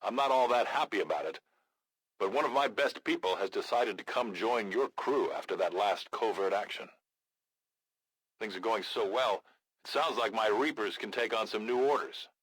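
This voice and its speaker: An actor playing a gruff, blunt, battle-hardened resistance leader in a video game, with a gravelly voice and a measured, grave manner. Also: male voice, fairly low pitch, fairly bright tone, no room sound, low-quality recording. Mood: businesslike. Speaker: military faction commander in a video game. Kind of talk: scripted video game voice acting.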